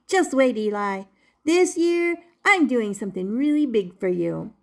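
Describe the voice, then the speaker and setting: A woman voicing an eager, affectionate little wooden boy in a storybook, with an excitable, earnest manner. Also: on the high side, unhurried. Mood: excited.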